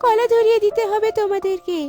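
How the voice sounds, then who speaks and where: Lady doing a magic talking tree, extremely high voice, kindly but solemn, cartoon with music. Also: steady tone, fast talker. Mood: solemn reassurance. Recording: music behind the voice.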